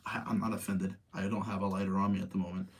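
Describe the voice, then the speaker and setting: A man's voice: deep voice, flat delivery, fast talker, husky. Casual man rambling through opinions. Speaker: livestream host reviewing movies.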